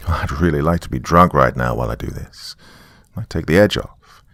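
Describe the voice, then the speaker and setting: A man's voice: extremely low pitch, moderate pace, fairly bright tone, no echo, clean studio sound. A man voicing a weary, sardonic small-town man, rambling wryly through a taped confession in a horror story. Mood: uneasy, resigned. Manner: rambling, wry, colloquial. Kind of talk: dramatic monologue as a taped confession.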